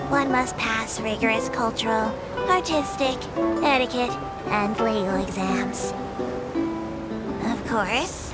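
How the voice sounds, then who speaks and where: A small creature tour guide from a video game , played by a woman, with a highish voice and a formal, courteous delivery. She makes announcements in precise, scripted phrases with a dry, matter-of-fact edge. Loud music plays under the voice. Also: natural voice.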